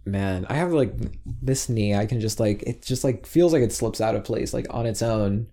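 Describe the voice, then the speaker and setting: A man's voice: lowish voice, moderate pace, vocal fry, warm tone. A young man chatting casually on a podcast over a headset mic, rambling with lots of fillers and swearing freely. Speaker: podcast co-host. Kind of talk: casual chat.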